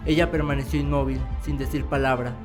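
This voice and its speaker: A man narrating a scripted radio drama in a measured, dramatic storytelling manner. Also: mid-range voice, fairly even intonation. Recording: clear music behind the voice.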